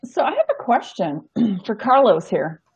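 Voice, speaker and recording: A woman's voice: a little high, measured pace, breathy edge. Frank woman hosting a chat. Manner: frank, animated. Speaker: show host. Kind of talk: casual chat. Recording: dull lo-fi stream audio.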